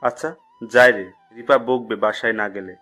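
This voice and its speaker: A man's voice: mid-range voice, normal speed, bright, forceful tone. A man playing a blunt, slangy young college friend in an audio love story. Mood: blunt, casual. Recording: music bed under the voice.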